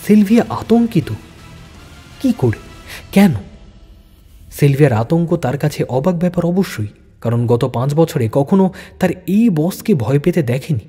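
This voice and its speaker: A male narrator tells a thriller with suspenseful, deliberate phrasing. His voice is fairly high yet dark and slightly husky, set in long reverb over music. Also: expressive. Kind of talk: dramatic scripted story narration.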